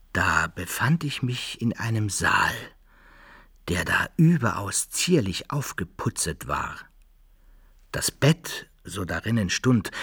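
Gravelly, slow-spoken proud adventurer. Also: male voice, on the low side, fairly bright tone, heavily acted. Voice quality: gravelly, husky. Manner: measured, formal, ceremonious old-fashioned speech. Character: proud, wary male adventurer recounting his exploits. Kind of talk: radio play acting and first-person narration in archaic German.

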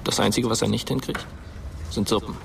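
A man playing a watchful, bored teenage ghost who narrates a radio play in a hushed, deliberate way. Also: fairly low pitch, fairly even intonation, creaky.

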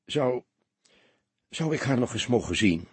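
A man's voice: mid-range voice, very animated, measured pace, darkish tone, slightly rough, dry recording, heavily acted. A man voicing an anxious, earnest young soldier who speaks hesitantly and repeats words in an audiobook story. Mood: grieving, hesitant.

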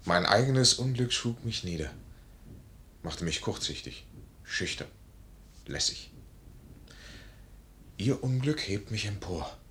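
A proud army major speaks in a low voice with earnest, theatrical stage diction. He is ardent and formal, as in classical drama. The 1950s radio recording has faint noise. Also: expressive, slow pace, long pauses, fairly bright tone. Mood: stirred.

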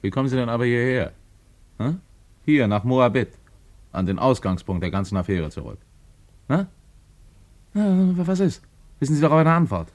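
A man's voice: medium pitch, sing-song, moderate pace, pauses often, a little mellow, close to an everyday voice. An actor playing a shrewd, controlled male investigator with a probing, prompting manner in a radio drama. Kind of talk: German radio drama acting.

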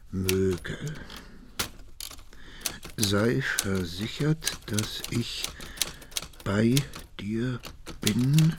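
An actor playing an older, pious and patient Sicilian mafia boss in hiding in a radio crime drama, measured and sententious. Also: male voice, on the low side, background noise.

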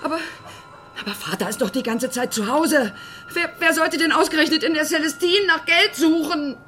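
A woman's voice: high voice, normal speed, bright, forceful tone, slight background noise. A woman playing a boy's protective, emotional mother in a radio drama. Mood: shocked.

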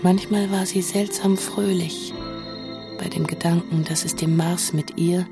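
A woman's voice: fairly low pitch, fairly even intonation, unhurried, vocal fry, music in the background. A woman narrating a radio drama as a composed storyteller, reading scripted literary prose. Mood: reflective. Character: composed female storyteller of a science-fiction saga.

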